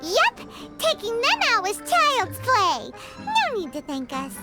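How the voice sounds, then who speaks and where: A woman voicing a small, chirpy, childlike fairy companion in a video game, squeaky and chatty. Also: slow pace, over music, full character voice. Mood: cheeky. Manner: excitable, chatty, exclamatory.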